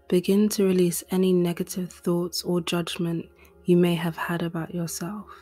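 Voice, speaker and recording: A woman reading a guided meditation in a soft, breathy, gentle and soothing voice. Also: on the low side. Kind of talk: guided meditation, scripted narration. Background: music.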